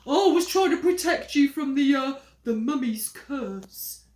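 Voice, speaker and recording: A woman voicing a scheming, blustering young boy from a children's storybook, with a forceful, melodramatic delivery. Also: sits high, lively intonation, measured pace, distant mic, pitched above the performer's own voice. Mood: sly stalling. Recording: roomy echo.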